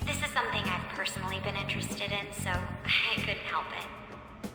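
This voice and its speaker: Probing young interviewer with swooping intonation. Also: female voice, mid-range voice, huge pitch swings, moderate pace, vocal fry. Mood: pressing. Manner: formal, probing, insistent. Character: earnest, incisive young female student interviewer. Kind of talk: English game voice acting, formal interviewing. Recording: echoey hall reverb with music behind.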